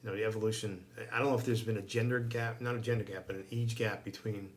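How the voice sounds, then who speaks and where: Middle-aged American male, fire chief as podcast guest. Quick, emphatic, conversational delivery over faint background noise. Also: lowish voice, fairly even intonation. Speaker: fire chief being interviewed. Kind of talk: casual interview conversation.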